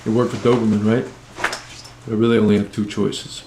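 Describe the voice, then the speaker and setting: An actor playing a troubled, cynical drug dealer, narrating and talking in a brooding, profane, philosophical way in a film drama. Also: male voice, fairly low pitch, flat delivery, fairly quick, reverberant, noisy background, natural voice. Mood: anxious, resolved. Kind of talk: dramatic voice-over and casual film dialogue.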